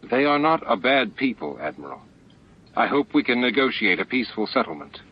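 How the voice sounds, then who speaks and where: A man voicing a steady, dutiful starship captain in an audiobook, frank and measured. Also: medium pitch, a little hiss or hum, pitched above the performer's own voice, lightly acted. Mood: grave. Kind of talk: audiobook character dialogue. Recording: muffled lo-fi audiobook with music.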